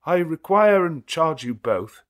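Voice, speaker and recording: Audiobook role: solemn, earnest clergyman , acted by a man, formal measured declamation with a lifted, carrying voice. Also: fairly high pitch, big pitch swings, voice pushed up. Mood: solemn, ceremonious. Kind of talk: audiobook character acting.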